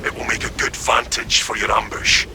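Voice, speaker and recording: A stern officer barking clipped orders. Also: male voice, on the low side, monotone, normal speed, vocal fry, background noise. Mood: commanding. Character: stern male officer commanding a squad by radio. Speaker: commanding officer. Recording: game audio over loud music.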